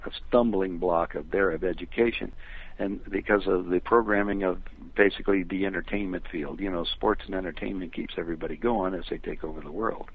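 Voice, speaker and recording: An older American man giving his opinions as a phone interview guest, talking in a rambling, emphatic way with a gravelly, husky voice over a telephone line. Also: sits low, steady tone, a little fast. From United States. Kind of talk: conversational opinion talk.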